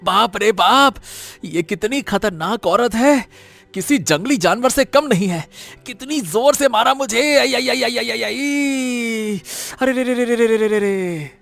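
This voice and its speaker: A man playing an excitable, greedy male jackal in an animated moral story, exclaiming and repeating his words. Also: very high-pitched, slow pace, creaky, faint music behind, heavily acted. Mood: pained whining.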